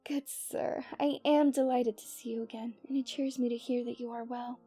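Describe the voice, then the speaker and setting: Woman acting a mellow, genteel fairytale princess. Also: fairly high pitch, steady tone, normal speed, soft background music. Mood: courtly, delighted. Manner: formal, genteel fairytale phrasing with breathy sighs. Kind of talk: scripted romantic ASMR roleplay.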